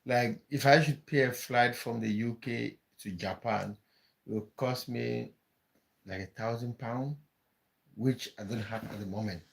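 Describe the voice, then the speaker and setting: A man's voice: mid-range voice, unhurried, vocal fry. A man from Cameroon, hosting a casual live stream, chatting with viewers and often repeating words as he thinks aloud. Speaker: livestream host.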